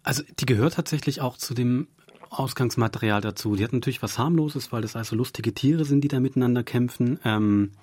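A man's voice: mid-range voice, a little fast, darkish tone, breathy edge, no room sound. A man giving a thoughtful interview as a radio drama director, explaining his craft with examples. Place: dry radio studio.

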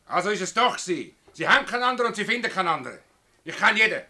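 A man's voice: sits high, very animated, racing, sharp tone, heavily acted. A man playing a defensive, quarrelsome village farmer in a radio drama, blustering indignantly in a Swiss German accent. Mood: dismissive. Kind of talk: radio drama acting in dialect.

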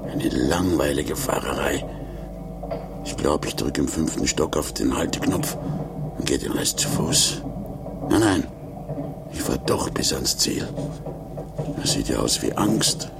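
Very deep, nervous muttering man. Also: animated, a little slow, creaky, pauses often, very noisy, exaggerated voice. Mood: nervous, self-reassuring. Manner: nervous muttering to himself, self-reassuring. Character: anxious, grumbling man alone in a rickety lift. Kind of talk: 1950s German radio play monologue.

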